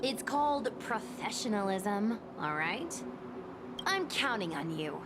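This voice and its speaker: A woman's voice: mid-range voice, sing-song, slow, background noise. A woman voicing a peppy, confident young ghost hunter in a video game, chatty and breezy. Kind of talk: video game cutscene acting.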